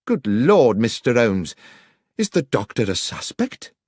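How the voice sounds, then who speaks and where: A man voicing an anxious, deferential country station master in excitable audiobook dialogue. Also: mid-range voice, unhurried, husky, no echo, studio quality. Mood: shaken, deferential.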